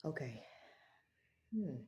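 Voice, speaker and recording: A woman tarot reader with a low voice, talking slowly and softly as she prays and reads cards at home. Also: reverberant, lo-fi sound, slight background noise. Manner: slow, prayerful, soft-spoken. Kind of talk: spiritual tarot reading. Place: home, early morning.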